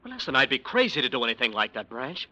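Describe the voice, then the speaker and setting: An actor playing an anxious, guilt-ridden lawyer in an old-time radio crime drama, defensive and evasive. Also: male voice, mid-range voice, big pitch swings, fast, pushed and bright. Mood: nervous pleading.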